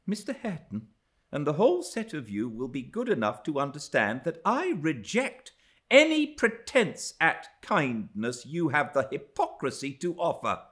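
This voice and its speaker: A man's voice: fairly high pitch, very animated, normal speed, a bit bright, pitched above the performer's own voice. A man voicing a proud, scornful young lady in an audiobook, with a biting, indignant manner. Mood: bitter disdain. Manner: haughty, disgusted, biting. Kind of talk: dramatised audiobook reading of a classic novel.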